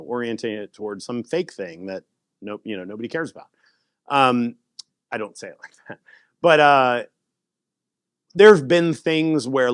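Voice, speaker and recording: A man giving a casual conference talk and answering audience questions, animated and laughing often. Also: mid-range voice, no echo, studio quality. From United States. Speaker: presenter.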